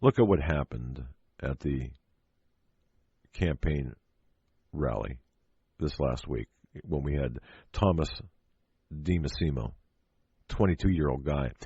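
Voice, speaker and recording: A low, husky-voiced American man delivers a political radio monologue in a dull, close and dry recording. He speaks emphatically and haltingly, repeating phrases and posing rhetorical questions to make his case. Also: darkish tone, no echo. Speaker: talk show host.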